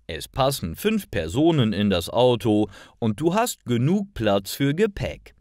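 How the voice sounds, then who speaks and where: Self-assured, helpful male pal , played by a male narrator, lively delivery, dry storybook recording. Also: medium pitch, measured pace, dry recording. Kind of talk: acted dialogue in a learner story.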